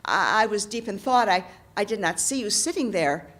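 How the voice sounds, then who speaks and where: A woman's voice: mid-range voice, expressive, moderate pace, a bit bright. A woman storyteller voicing an earnest, courteous king who stammers and pleads. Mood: earnest, pleading. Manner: stammering, polite. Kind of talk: oral storytelling.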